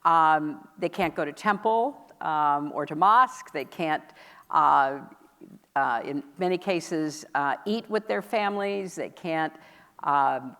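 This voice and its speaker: A woman hosting a conference session, giving a public speech in a measured, deliberate and emphatic way. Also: deep voice, bright, forceful tone. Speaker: host presenting a commitment at a conference session. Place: large indoor event hall. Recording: echoey hall, long reverb.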